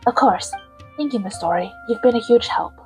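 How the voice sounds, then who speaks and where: A considerate young noblewoman from a fantasy game , acted by a woman. She speaks calmly and earnestly in a politely gentle way, with faint music behind her. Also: fairly high pitch, brisk, lightly acted. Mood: gentle, apologetic. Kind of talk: amateur fan voice-over of game dialogue.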